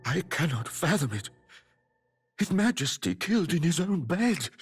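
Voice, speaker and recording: Husky solemn royal court official in a fantasy game, troubled, formal, lots of pitch movement. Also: male voice, very animated, moderate pace, soft background music, full character voice. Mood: shocked disbelief. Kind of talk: dramatic English-language game voice acting.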